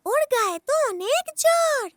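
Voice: very high-pitched, big pitch swings, normal speed, fairly bright tone, full character voice. A performer voicing a playful, earnest small child with a chirpy way of talking in a children's cartoon.

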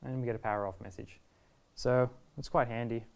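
A young man presents a how-to guide in a casual voice. He explains each step in an easygoing, reassuring manner, at a medium pitch. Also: steady tone, fairly quick, vocal fry. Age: 20s. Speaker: product reviewer and presenter. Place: indoor shop video studio, standing at a table.

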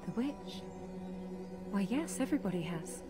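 A woman's voice: medium pitch, big pitch swings, moderate pace, natural voice. Actress as young shop girl over music. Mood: guarded. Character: polite, lonely young hat-shop girl. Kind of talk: radio drama acting. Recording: music under the voice.

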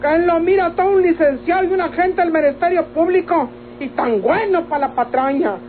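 A woman's voice: very high voice, moderate pace. Plaintive rustic Mexican girl, tinny radio. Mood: aggrieved. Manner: colloquial rustic speech, plaintive. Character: naive, indignant rustic young village woman, tricked witness. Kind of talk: Mexican radio soap opera acting. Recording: tinny old radio recording, faint noise.

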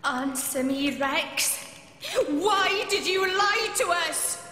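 An actress voicing a hurt, jealous, sharp-tongued young woman in a game, accusing and bitter, in an echoey recording with music behind. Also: very high-pitched, animated, slow pace, slight background noise, full character voice.

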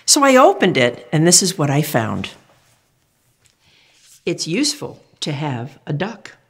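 An older woman reading a picture book aloud to children, animated and exclamatory, asking the young listener questions as she goes. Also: sits low, unhurried, breathy edge.

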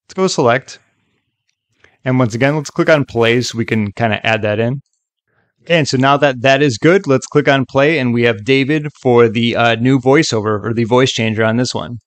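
A man narrating a software tutorial in a casual, conversational way with chatty asides. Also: medium pitch, moderate pace, no echo.

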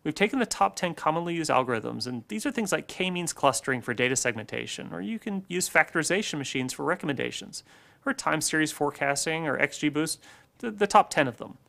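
Man, forties, keynote presenter, measured delivery, gravelly, slightly high pitch, studio lapel mic. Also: lively intonation, pushed and bright.

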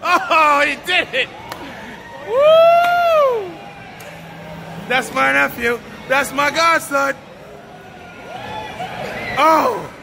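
Excited lady vlogging on her phone, high voice, talks slow, loud busy mall behind her. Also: gravelly. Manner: excited, encouraging children. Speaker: vlogger filming a family outing. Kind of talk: casual chat and cheering. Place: large busy indoor shopping mall. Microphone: handheld phone, behind the camera. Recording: noisy crowded indoor mall, phone audio. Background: crowd chatter and ride noise.

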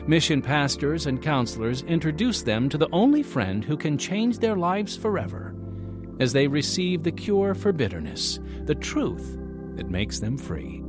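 Brief: American male radio announcer, dramatic scripted narration, very expressive intonation, music underneath. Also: fairly high pitch, a little slow. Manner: theatrical, emphatic phrasing.

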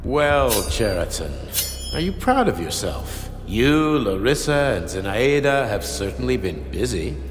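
Grandiose scientist boss in an echoing hall. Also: male voice, mid-range voice, very animated, music in the background. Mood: condescending, disappointed. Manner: measured, condescending, theatrical. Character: grandiose, coldly paternal male scientist boss. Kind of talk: video game cutscene acting.

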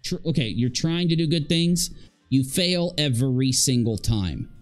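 Male livestream host, thirties, mid-pitched, sarcastic and emphatic, faint music underneath. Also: animated, a little slow. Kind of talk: casual live reaction commentary. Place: indoor room at home.

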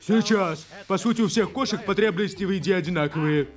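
A fussy, pompous male cartoon animal, acted by a man, with a high voice. He grumbles theatrically while music plays behind him. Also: a little fast, close to an everyday voice. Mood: haughty. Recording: music under the voice.

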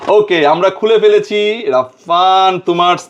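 A man with a Bangladeshi accent and a fairly high voice presents a product in a casual unboxing style. He drops English phrases into his explanations. The recording sounds a little dull and lo-fi. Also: takes it slowly, slight background noise. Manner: mixes English words and phrases into his talk. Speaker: product reviewer and video host.

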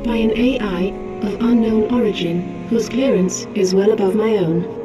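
A woman's voice: mid-range voice, moderate pace, natural voice. A woman playing a polite military AI in a sci-fi game, formal and exact. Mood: calm, procedural. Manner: precise, even, formal. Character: calm, polite female military AI assistant. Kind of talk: sci-fi video game acting. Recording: game soundtrack music behind.

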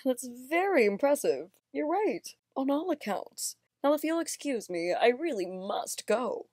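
A cool, calculating femme fatale. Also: female voice, sits high, very animated, unhurried, darkish tone, no echo, heavily acted. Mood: nonchalant. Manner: composed, nonchalant, polite. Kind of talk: audio play acting.

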